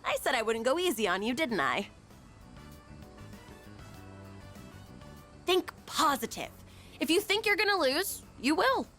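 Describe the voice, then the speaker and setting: A woman's voice: high voice, very animated, moderate pace, fairly bright tone, soft background music. A woman playing an upbeat, sporty teenage girl who coaches kids firmly, in an anime game dub. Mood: bossy, encouraging. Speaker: student volunteer coach.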